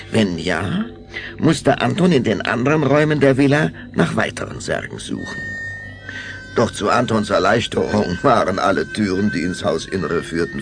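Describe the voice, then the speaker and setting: A male storyteller narrates a children's audio play. His voice is very low and creaky, with lively, dramatic rises and falls, over clear background music.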